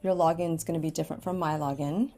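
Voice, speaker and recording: A woman's voice: low voice, steady tone, fast talker, warm tone, soft background music. An American woman teaching a step-by-step computer lesson for students, using simple instructional phrasing and often checking in with an okay. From Washington State, United States. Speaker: ESOL writing teacher. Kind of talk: step-by-step screen tutorial for students. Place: indoors at a computer, screen recording with webcam.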